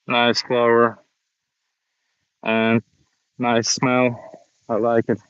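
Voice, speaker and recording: Man vlogging on a walk: slow, halting learner English, dull dry phone audio. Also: mid-range voice, monotone, fairly bright tone, smooth, no room sound. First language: Russian. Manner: slow, hesitant learner English with many pauses. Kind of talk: casual walking vlog monologue. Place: outdoors, walking around a city.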